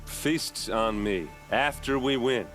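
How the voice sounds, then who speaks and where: A man voicing a brash, gruff warrior captain with a gravelly rasp and blustering swagger in a video game. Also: medium pitch, slow, fairly bright tone, faint music behind. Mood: dry, gruff. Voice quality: gravelly, raspy. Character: brash, gruff warrior captain rallying his rowdy men. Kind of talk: cinematic video game voice acting.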